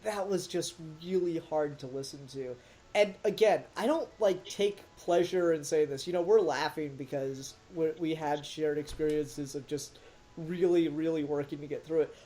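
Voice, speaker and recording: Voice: mid-range voice, normal speed, dark tone. A podcast co-host giving a casual, blunt and emphatic critique in conversation. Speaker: podcast co-host reviewing an audio drama.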